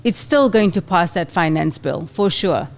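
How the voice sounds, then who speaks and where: A woman's voice: on the low side, animated, moderate pace. A woman co-hosting a casual political chat, agreeing often and laughing easily. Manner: agreeable, brief affirmations, easy laughter.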